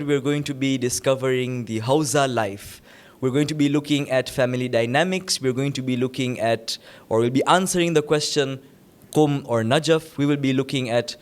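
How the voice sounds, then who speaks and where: A man hosting a podcast, opening the episode and asking his guest curious questions in an upbeat way. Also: mid-range voice. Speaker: podcast host. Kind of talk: podcast interview.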